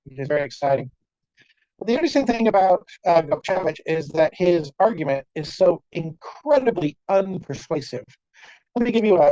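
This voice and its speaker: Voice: mid-range voice, dark tone, dry recording. A conference speaker giving an academic lecture over a video call, with wry, dry humour and frequent fillers and restarts. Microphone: computer mic on a video call. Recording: dull, lo-fi video call audio.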